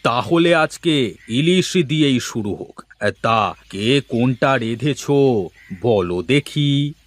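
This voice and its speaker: A man's voice: medium pitch, lively intonation, takes it slowly. A man playing a jovial, affectionate older father in a cartoon family drama. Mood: delighted. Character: jovial, affectionate older Bengali father visiting his married daughter.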